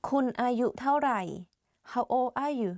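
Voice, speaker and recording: Woman reading phrase prompts, higher voice, very fast overall pace, dry mic. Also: darkish tone, dry recording. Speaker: co-presenter reading example phrases.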